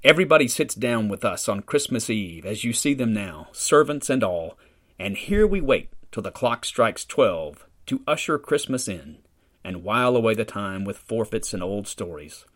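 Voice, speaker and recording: A man's voice: fairly low pitch, very animated, normal speed, fairly bright tone. A man voicing a genial middle-aged country host who talks in a hearty, jovial way.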